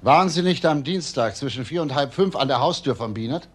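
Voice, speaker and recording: An actor playing a calm, probing police detective in a crime drama, questioning in a dry, insistent way. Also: male voice, mid-range voice, fairly quick, breathy edge.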